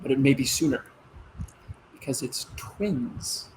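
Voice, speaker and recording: A man playing an earnest, gently firm grown son and expectant father in a naturalistic one-act play. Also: mid-range voice, lively intonation, slow, slight background noise, poor audio. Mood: happy, sincere. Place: virtual play performed over video call.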